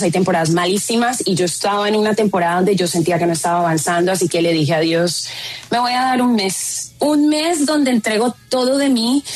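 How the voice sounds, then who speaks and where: A Colombian woman, a content creator guest in an interview, telling her story in an animated and emphatic way. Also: mid-range voice.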